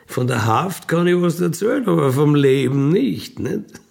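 An older man with an Austrian accent telling a reflective personal story in a halting, colloquial way with little questioning tags. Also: a little high, animated, vocal fry, a little mellow. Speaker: former prisoner telling their life story. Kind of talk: reflective autobiographical monologue.

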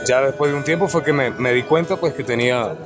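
Man in his twenties from venezuela, low husky voice, halting casual answers, music behind. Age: 20s. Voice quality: low, slightly hoarse speaking voice. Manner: halting, restarts and fillers, relaxed. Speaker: street singer.

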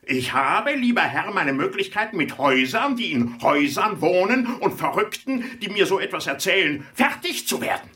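A self-important male chairman in a radio play, a little away from the microphone. His voice is bright and forceful and swings animatedly in pitch. He is officious and easily flustered, with hesitant fillers breaking up his bluster. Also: sing-song, distant mic, full character voice. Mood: indignant, threatening. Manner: pompous, officious, hesitating with filled pauses, increasingly exasperated. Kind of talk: 1980s German radio drama acting.

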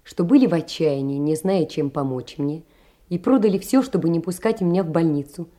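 An actress playing a poor, tender young woman in an audio play, earnestly and sorrowfully reproaching in measured literary phrases. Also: low voice, mellow. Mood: reproachful, troubled. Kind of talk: theatrical audio play, reading a letter aloud.

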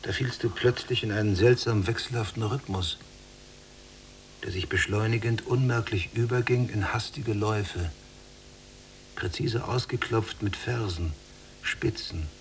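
A man's voice: lowish voice, measured pace, slight background noise. A man acting in a literary radio drama as an observant, sceptical younger prisoner, speaking in dry, articulate phrases. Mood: fascinated, reflective.

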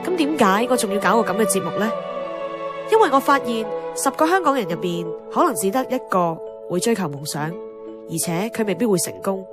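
An earnest, idealistic radio host acted by a woman with a Hong Kong Cantonese accent. She speaks reflectively in an animated, mid-pitched voice over clear background music. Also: fairly quick, lightly acted. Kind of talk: scripted radio monologue.

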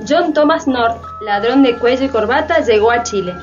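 A woman's voice: a little high, moderate pace, muffled recording, faint music behind. A woman reading scripted narration in a radio drama.

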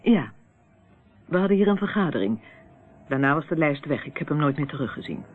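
A woman's voice: deep voice, big pitch swings, brisk, a little hiss or hum. An actress playing a polite, evasive married woman in a radio play, hesitant and defensive in manner. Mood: uneasy, defensive. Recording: muffled, narrow-band old radio recording.

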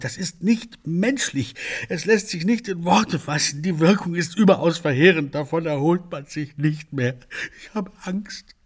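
Male actor as florid, lovesick gentleman for radio satire: husky, pompous, theatrically tormented by passion. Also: medium pitch, natural voice. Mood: anguished. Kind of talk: satirical radio play acting.

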